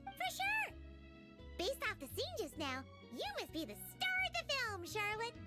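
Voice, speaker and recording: Super high squeaky little fairy girl, bubbly, anime game, loud kiddie music behind. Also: huge pitch swings, unhurried, full character voice. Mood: excited. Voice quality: squeaky, childlike. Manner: bubbly, speaks of self in third person. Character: tiny cheerful floating fairy companion, childlike girl.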